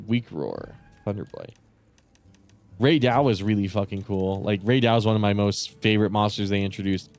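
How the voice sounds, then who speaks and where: A man chatting casually with viewers on a live stream, rambling and swearing with lots of fillers, his voice starting to go raspy. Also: fairly low pitch, a little mellow. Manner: rambling, profane, many fillers and restarts. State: voice getting raspy.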